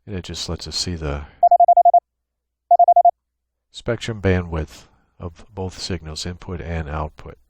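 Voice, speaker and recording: A man giving an unscripted software tutorial, explaining each step in a matter-of-fact, technical way. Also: low-pitched, fairly even intonation, slow pace, pauses often, dry recording. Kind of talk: unscripted screen-share walkthrough. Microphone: USB microphone with gate and compressor.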